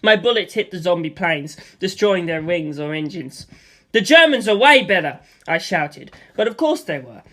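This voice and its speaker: A narrator reading a first-person novel aloud in an expressive storytelling style, acting out the action with gusto. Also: medium pitch. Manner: acts out sound effects with gusto.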